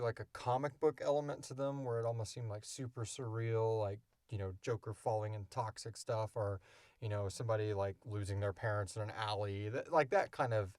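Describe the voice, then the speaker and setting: A man's voice: medium pitch, a little mellow, no room sound. Man, podcast host, mid-range voice, informal rambling chat, very dry close sound. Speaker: podcast host and audio drama creator. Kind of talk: casual chat answering listener questions.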